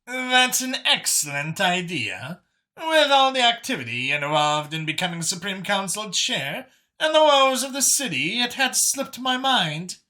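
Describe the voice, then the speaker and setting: A man voicing a composed, commanding female alien council chair with formal, emphatic, measured speech in an audiobook story. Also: high-pitched, big pitch swings, pushed and bright, voice pushed up, full character voice. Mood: brisk, pleased.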